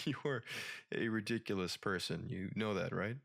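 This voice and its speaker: A man's voice: on the low side, a little slow, vocal fry, a bit bright, full character voice. A man playing a weary, unsettled married newspaperman and father in a comic dub, speaking hesitantly. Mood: fond, teasing. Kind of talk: dramatic comic dub acting.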